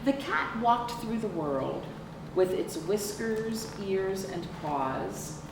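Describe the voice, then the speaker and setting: A middle-aged woman reading a picture book aloud to young children as a librarian, explaining deliberately and asking rhetorical questions. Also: medium pitch, animated, creaky. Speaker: children's librarian hosting storytime. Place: indoor room with sofa. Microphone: camera a few feet away. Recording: echoey, noisy room, distant mic.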